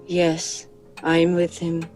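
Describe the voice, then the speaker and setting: An actress playing an entranced young woman under a vampire's spell in a radio horror drama, speaking in a slow, dreamy trance. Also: on the low side, monotone. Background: soft music.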